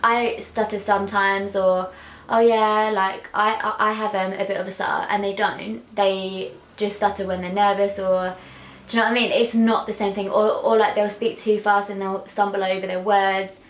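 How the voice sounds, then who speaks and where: A young woman speaks candidly to camera, her words often snagging on a repeated sound before they come out. She fills her talk with easy "like"s, her voice neither high nor low. The bedroom around her gives a clear echo. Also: little pitch movement, normal speed, a little hiss or hum. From England. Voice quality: stutter with sound and word repetitions. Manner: open, heartfelt, many fillers. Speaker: vlogger. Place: small bedroom indoors. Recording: echoey bedroom.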